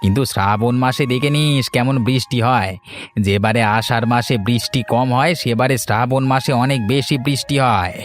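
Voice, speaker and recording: A man's voice: mid-range voice, moderate pace, faint music behind, natural voice. A man voicing a snobbish, gossiping village man in a cartoon folk tale, talking in a theatrical, conversational way. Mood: matter-of-fact.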